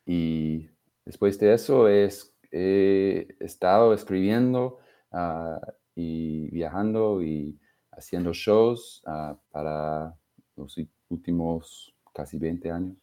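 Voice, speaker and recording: Slow, halting man with a deep voice. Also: mellow, clean tone. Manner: halting, searching for words, many fillers. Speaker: singer-songwriter guest. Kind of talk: interview answers.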